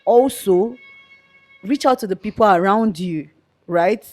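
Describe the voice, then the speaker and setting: A young woman with a Nigerian accent speaking earnestly as an interview guest, with a loud, outspoken way of talking. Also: medium pitch, a little slow, faint music behind. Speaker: guest, activist. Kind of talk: conversational interview.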